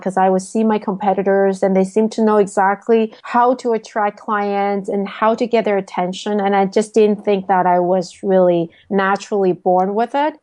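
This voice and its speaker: A woman's voice: mid-range voice, darkish tone. A woman from Taiwan, answering interview questions thoughtfully, with frequent "um"s and restarts. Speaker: guest, sales coach.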